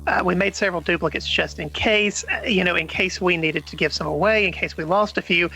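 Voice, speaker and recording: A man's voice: high-pitched, brisk, vocal fry, pushed and bright, husky, faint music behind, heavily acted, rough recording. A man playing an anxious, hesitant character in an audio drama, speaking in an informal, earnest way. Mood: reflective narration. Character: anxious, hesitant man chasing a conspiracy mystery. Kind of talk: naturalistic audio drama dialogue and first-person narration.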